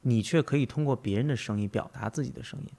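A man's voice: medium pitch, quick pace, gravelly, warm tone. A man hosting a podcast, musing philosophically in conversation, emphatic, with repetition and rhetorical questions. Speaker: podcast host.

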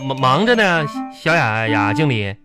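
A man's voice: mid-range voice, sing-song, measured pace. A man playing an awkward, broke but boastful office suitor in a radio comedy, stammering and rambling in a Northeastern Chinese accent. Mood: sheepish, chatty. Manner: stammering, rambling, repeats words. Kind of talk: radio comedy sketch acting. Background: music.

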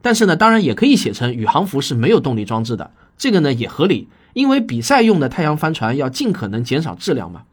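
A man's voice: on the high side, wildly swooping pitch, fast talker, hardly any pauses, breathy edge. A man hosting a podcast, explaining things to listeners in a conversational, emphatic monologue. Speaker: podcast host and science fiction writer.

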